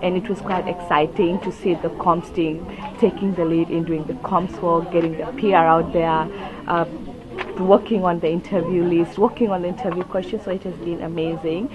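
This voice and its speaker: A woman's voice: on the low side, fairly even intonation, moderate pace, rough recording. An upbeat woman giving a casual, enthusiastic interview as an event organiser. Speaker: workshop organiser being interviewed. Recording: crowd chatter behind the voice. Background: crowd chatter.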